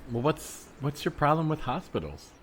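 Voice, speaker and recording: A man playing an earnest, mild-mannered hospital worker out jogging in an improvised comedy scene, speaking casually in a halting, tentative way. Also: fairly high pitch, sing-song. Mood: puzzled, curious. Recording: background noise of outdoor soundscape. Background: added outdoor ambience soundscape.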